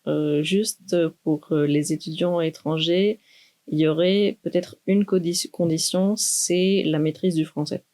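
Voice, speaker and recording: A young woman, a doctoral student speaking in a thoughtful, measured way with many pauses in a university podcast interview. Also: low-pitched, steady tone, dark tone. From Greece. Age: 20s. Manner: measured, thoughtful, with frequent hesitations.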